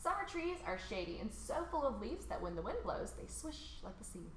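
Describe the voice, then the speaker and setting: Female, American, children's read-along host. Lively pitch movement, informal delivery, recorded in a roomy echo. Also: brisk. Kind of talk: picture book read aloud for children. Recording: roomy echo, faint background noise.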